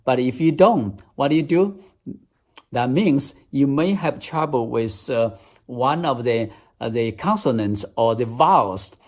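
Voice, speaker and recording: Chinese-accented man, mid-pitched, earnest English tutor, repeats himself as he explains steps. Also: animated, unhurried, a little mellow. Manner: earnest, step-by-step, repeats phrases. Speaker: English teacher. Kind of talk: app demonstration tutorial.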